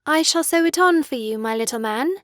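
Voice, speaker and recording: A woman's voice: high voice, animated, brisk, no echo, studio quality. A woman playing a polite, motherly little girl in a full-cast audiobook, with a prim and courteous manner. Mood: patronizing.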